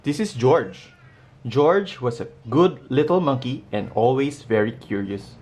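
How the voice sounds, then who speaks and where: A man's voice: mid-range voice, animated, a little slow, a little mellow. Man reading a children's picture book aloud. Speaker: storybook reader.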